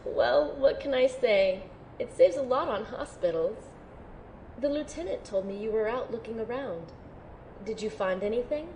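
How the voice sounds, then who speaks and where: Woman acting an earnest young recruit, higher-pitched voice. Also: animated, moderate pace, darkish tone, a little hiss or hum, lightly acted, low-quality recording. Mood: friendly. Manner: tentative, sincere, hesitant. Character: earnest, unsure young female recruit, gentle and wistful. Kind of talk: audio drama acting and first-person narration.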